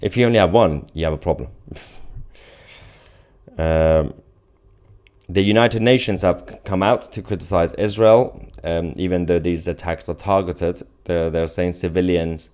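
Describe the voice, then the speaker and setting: A man's voice: low-pitched, unhurried, darkish tone, clear, resonant tone. A man hosting a live stream in an informal, off-the-cuff way, reading out viewer comments and giving casual commentary.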